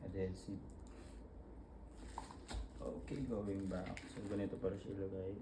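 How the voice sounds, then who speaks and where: A man with a Filipino accent giving a casual hands-on tutorial, talking informally and haltingly as he works through each step. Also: deep voice, completely flat delivery, background noise. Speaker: vlogger demonstrating a product installation.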